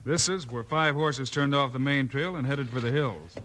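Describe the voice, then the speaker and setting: A man playing a steady, brave Old West lawman, firm and plain-spoken, with an American accent in a 1950s radio western. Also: mid-range voice, moderate pace, natural voice. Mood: resolute. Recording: noisy old radio recording with clicks.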